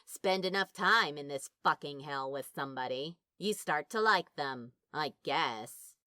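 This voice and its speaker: A woman's voice: low voice, expressive, a little slow, sharp tone, dry recording, clean studio sound, heavily acted. A woman voicing sardonic, blunt, grudgingly affectionate friends in an audio drama, with an emphatic, sarcastic drawl. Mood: grudging fondness. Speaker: character voice actor. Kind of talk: fan fiction audio drama dialogue.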